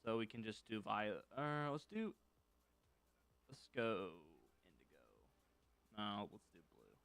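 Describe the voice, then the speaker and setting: A man's voice: on the low side, unhurried, fairly bright tone, smooth. A man casually teaching scripting on a live stream, thinking aloud as he works and chatting with viewers. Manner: thinks aloud while working, loose and informal, reads chat and answers.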